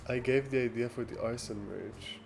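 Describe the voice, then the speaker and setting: A man's voice: medium pitch, vocal fry, a little mellow, poor audio. Casual male livestreamer over background music. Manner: halting, rambling delivery with frequent false starts and laughter. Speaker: livestreamer chatting with viewers. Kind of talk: casual chat, reading and answering live comments.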